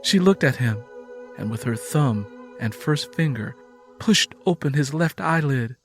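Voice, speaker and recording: A man's voice: mid-range voice, lively intonation, a little slow, faint music behind. A man reading a dramatic audiobook story as its narrator, in scripted prose.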